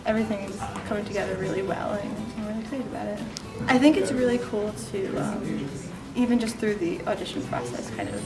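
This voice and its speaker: Young female theater director, casual interview, mid-pitched, slightly distant under loud music. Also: lively intonation, brisk. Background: loud background music.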